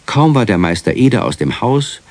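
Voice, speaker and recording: An actor as the friendly narrator of a children's radio play, telling the tale in a measured, expressive way. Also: male voice, mid-range voice, no room sound. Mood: knowing, friendly. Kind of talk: scripted children's story narration.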